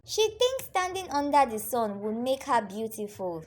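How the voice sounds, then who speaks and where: A woman's voice: a little high, sing-song, unhurried, pitched above the performer's own voice. A woman voicing a mocking young village maiden who jeers and snickers in a folktale. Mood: jeering.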